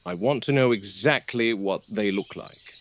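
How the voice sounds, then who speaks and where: A man performs a weathered game warden. He speaks low and tersely, and drops to near-murmurs when grieving. Crickets and insects hiss behind him on a noisy recording. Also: normal speed, close to an everyday voice. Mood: businesslike. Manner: clipped and terse, quiet and subdued when upset. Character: weathered male park game warden, grim, practical.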